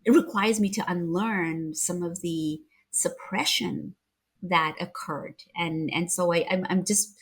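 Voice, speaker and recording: Husky-voiced woman hosting an interview. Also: fairly low pitch, unhurried. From Zimbabwe. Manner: warm affirming, reflective, many small restarts. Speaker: podcast host. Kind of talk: conversational interview.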